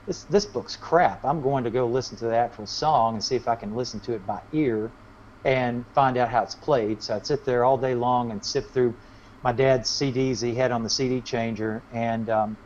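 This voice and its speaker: A man with a medium-high voice talks casually as a musician being interviewed, explaining himself in a loose, rambling way. The recording is muffled and lo-fi, with faint noise in it. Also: normal speed, darkish tone.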